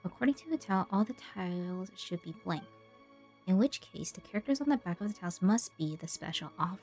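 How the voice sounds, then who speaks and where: A woman's voice: medium pitch, expressive, brisk. A woman game streamer, casually commenting and reading aloud in an easygoing way. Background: soft game music.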